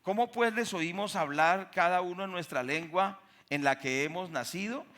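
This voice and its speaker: Colombian guy preacher, kinda high forceful voice, emphatic sermon to his church. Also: normal speed. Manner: emphatic, rhetorical questions to the congregation. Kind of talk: sermon with Bible reading and testimonies. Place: speaking to a church congregation.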